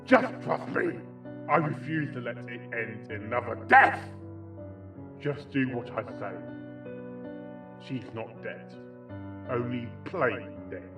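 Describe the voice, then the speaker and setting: A man's voice: mid-range voice, slow pace, long pauses, roomy sound, music in the background. A man playing a determined male pony doctor and exorcist, commanding and dramatic, in a story reading. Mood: resolute.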